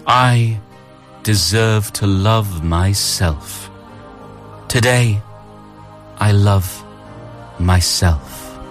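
A man hosting a morning radio show, bantering playfully and reading affirmations slowly and soothingly. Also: lowish voice, soft background music.

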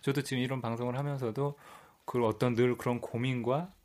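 A man's voice: medium pitch, steady tone, fairly quick, darkish tone. A man hosting a talk show, speaking reflectively and thinking aloud. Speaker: host. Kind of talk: casual podcast talk.

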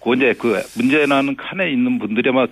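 A man speaking as an official guest over the telephone, giving formal, polite explanations in a halting, hesitant way. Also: mid-range voice, a bit bright, breathy edge. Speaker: subway operations executive, interview guest. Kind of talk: formal phone interview answers. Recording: narrow phone-like line.

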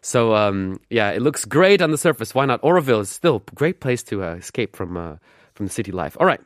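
A middle-aged man hosting a radio show in a studio, relaxed and chatty with many fillers. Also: on the low side, brisk, dry recording. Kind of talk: casual radio chat. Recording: dry studio sound, faint music behind.

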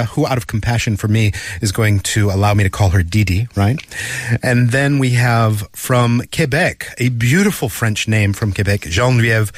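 A man's voice: fairly low pitch, no echo, studio quality. A man hosting a radio talk show, chatty and thinking aloud as he leads an interview. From Canada.

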